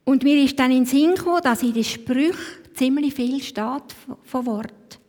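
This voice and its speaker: A Swiss woman leading a church service, speaking reflectively and reading scripture in a slow voice that sinks to a hush at phrase ends. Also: high-pitched. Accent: Swiss German. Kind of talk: devotional talk and scripture reading. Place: church hall. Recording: long hall reverb.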